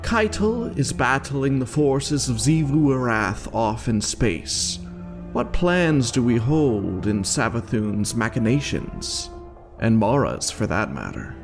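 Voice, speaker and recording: A man delivers dramatic scripted narration at an unhurried pace. His voice is gravelly with fry and swings expressively through the phrases, with soft music underneath. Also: mid-range voice. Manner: measured, theatrical. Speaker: game lore reader and streamer.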